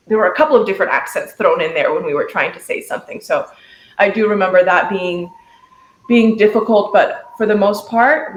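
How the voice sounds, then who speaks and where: A young woman whose first language is Dutch, a singer being interviewed, answering in a thoughtful, fluent way. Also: on the low side. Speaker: singer in a music group, interview guest. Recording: roomy echo.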